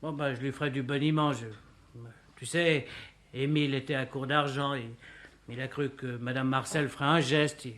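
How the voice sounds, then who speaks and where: An actor playing an outspoken, good-hearted detective's sidekick in a French radio play, with a cheeky, slangy way of talking. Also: male voice, mid-range voice, steady tone, normal speed, a bit bright, close to an everyday voice. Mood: grudging, improvising. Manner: colloquial, outspoken.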